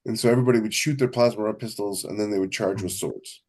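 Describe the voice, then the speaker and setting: A man's voice: fairly low pitch, fast, darkish tone. A man co-hosting a podcast in casual conversation, talking enthusiastically and emphatically and often repeating himself. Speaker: podcast co-host and science fiction author. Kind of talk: casual chat.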